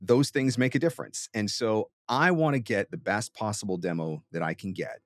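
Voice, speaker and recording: A man's voice: on the low side, normal speed, no echo. A man hosting a talk and giving conversational coaching advice, emphatic and repeating phrases for stress. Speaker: host and voiceover coach answering a question.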